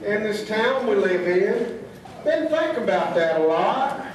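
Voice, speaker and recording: A man playing a put-upon, folksy small-town straight man in a comic radio double act, halting in his talk. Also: high voice, sing-song, a crowd in the background. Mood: folksy, musing. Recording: distant, very echoey, noisy stage recording.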